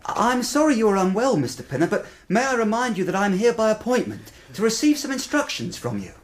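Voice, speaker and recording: Animated, earnest young English clerk. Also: male voice, sits high, a little fast. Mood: concerned, polite. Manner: polite, eager, plain-spoken. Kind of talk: radio drama acting. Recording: radio drama with clear background noise.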